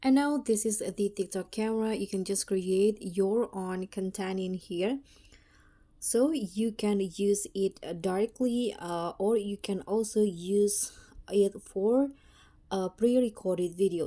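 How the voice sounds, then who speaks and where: Slow-paced, mellow-voiced woman giving a tutorial. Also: mid-range voice. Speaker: tutorial host. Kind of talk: casual step-by-step app tutorial narration.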